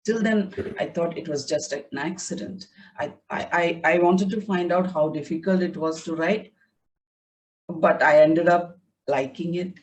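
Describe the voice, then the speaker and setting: A woman's voice: fairly low pitch, measured pace, distant mic, slight background noise, poor audio. A woman whose first language is Tamil, a writer being interviewed, talking reflectively with many fillers and restarts over a video call.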